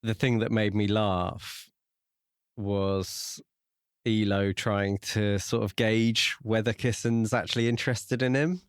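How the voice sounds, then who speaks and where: Lowish voiced guy chatting on a podcast, casual, dry close sound. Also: steady tone, takes it slowly, smooth, dry recording. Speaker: podcast co-host discussing books. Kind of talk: casual conversational chat.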